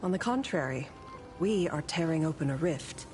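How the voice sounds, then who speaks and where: Composed low-voiced woman painter. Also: lively intonation, moderate pace, over music. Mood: determined. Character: composed, ancient female painter, reassuring and knowing. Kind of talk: video game voice acting.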